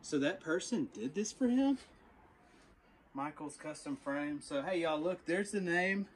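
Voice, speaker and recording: Man, 30s, slightly raised pitch, casual reseller vlog patter, faint outdoor noise. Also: lively intonation, measured pace, low-quality recording. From Texas. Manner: chatty, talks to viewers as y'all. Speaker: storage unit reseller vlogger. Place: outdoor storage unit, then inside a truck.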